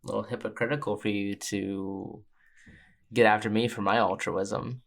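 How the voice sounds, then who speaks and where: Player at a tabletop game, chatty, fills every pause with like, mid pitch. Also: level tone, creaky. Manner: chatty, with many fillers. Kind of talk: casual tabletop roleplay.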